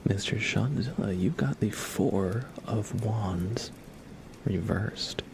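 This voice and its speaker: A soft-spoken man whispering gently and politely in a casual ASMR livestream, chatting with viewers and reading cards. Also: on the low side, takes it slowly, vocal fry, background noise.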